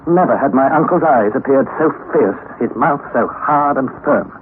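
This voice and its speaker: An actor playing an earnest young nephew who recounts a perilous expedition in measured, theatrical old radio-drama style. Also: male voice, medium pitch, animated, phone-quality sound, natural voice. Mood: grave, suspenseful. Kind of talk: dramatic first-person narration, old-time radio acting. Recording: thin, band-limited vintage radio recording, noisy.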